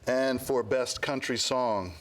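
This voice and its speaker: A man reading award categories and their nominees in a measured way. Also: mid-range voice, slight background noise. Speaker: nominations announcer.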